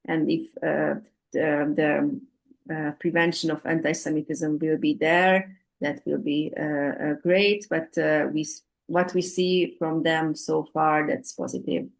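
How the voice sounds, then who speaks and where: Slow halting woman. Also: low voice, little pitch movement, creaky. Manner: slow and halting, frequent ums and uhs. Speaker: tour guide answering audience questions. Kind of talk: hesitant Q&A answers, many fillers.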